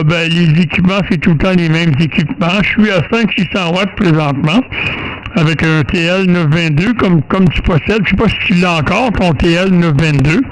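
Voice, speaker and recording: High-pitched Québécois man chatting on radio. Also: creaky, poor audio. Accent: Quebec French. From Quebec, Canada. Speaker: amateur radio operator. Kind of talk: casual radio chat. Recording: faint radio noise.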